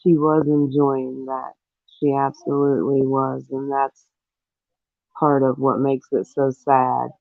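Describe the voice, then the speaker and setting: A man gives casual livestream commentary at a slow, deliberate pace, with gravel in his voice and an earnest, disapproving manner. The sound is dry and narrow, like a phone call. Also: mid-range voice, monotone, no room sound. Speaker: livestream co-host reading viewer comments. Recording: thin phone-like audio.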